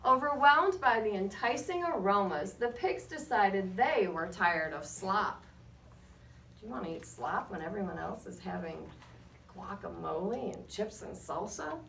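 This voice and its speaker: A woman reading a picture book aloud to children as a guest storyteller, with expressive, measured delivery and short asides to her listeners. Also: medium pitch, vocal fry. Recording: roomy, distant mic, faint background noise.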